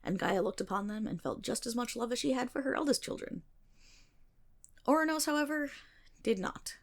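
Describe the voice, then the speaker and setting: Nerdy, creaky-voiced best friend played by a woman. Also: fairly high pitch. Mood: storytelling. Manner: animated, wry, dramatic pauses and playful asides. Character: nerdy rambling female best friend, teasing and enthusiastic. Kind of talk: ASMR roleplay, informal myth storytelling.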